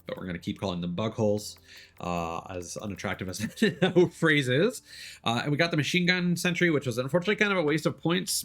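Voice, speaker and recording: A man's voice: fairly low pitch, a bit bright. A man streaming a video game, giving casual, rambling, informal commentary as he explains how it works. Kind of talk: casual let's-play commentary.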